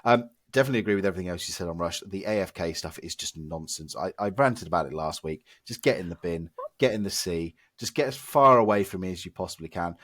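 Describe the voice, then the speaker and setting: A low-pitched man with a hoarse voice he is losing to illness. He rants with exasperated emphasis on a close, dry podcast mic. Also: no echo. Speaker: podcast co-host. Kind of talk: casual podcast chat.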